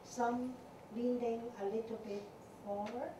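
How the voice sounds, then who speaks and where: A woman teaching a meditation class, speaking in a gentle way with simple phrasing and many fillers. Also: a little high, steady tone. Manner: slow, gentle, non-native phrasing with fillers. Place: temple meditation hall. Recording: echoey hall, distant mic, noisy.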